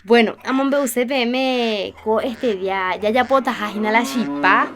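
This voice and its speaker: A woman's voice: a little high, measured pace, fairly bright tone. An actress playing a sociable village woman who organises her neighbours in a radio soap opera. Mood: friendly, persuasive.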